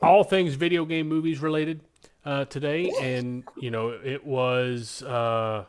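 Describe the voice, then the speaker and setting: A man's voice: mid-range voice, fairly even intonation, slow, a crowd in the background. A man co-hosting a podcast, chatting casually and giving his opinions with enthusiasm and emphasis. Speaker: podcast co-host. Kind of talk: casual podcast chat about games and films.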